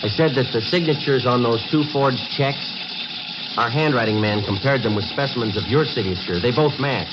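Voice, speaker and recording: A man's voice: mid-range voice, normal speed, background noise, close to an everyday voice. An American man playing a methodical police sergeant in a 1950s radio drama, terse and matter-of-fact. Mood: polite, probing. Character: calm, methodical male police sergeant narrating his case. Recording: muffled old radio broadcast, music behind.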